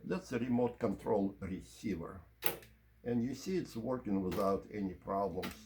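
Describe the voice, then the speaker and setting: A man presenting an informal product review and demonstration, explaining in measured, short broken phrases. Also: lowish voice, a little mellow, slight background noise. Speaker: presenter of audio equipment review.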